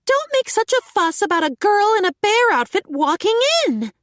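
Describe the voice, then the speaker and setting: Stern elf guild master, read by a woman; high, animated and unhurried, dry recording. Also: dry recording. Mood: commanding. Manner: commanding, clipped.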